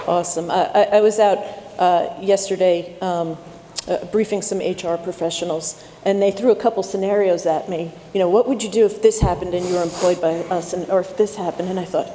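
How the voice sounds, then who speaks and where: A woman's voice: on the low side, steady tone, brisk, warm tone, slight background noise. A woman moderating a conference panel, speaking with enthusiasm and a rallying tone. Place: conference hall. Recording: echoey hall, faint ambience.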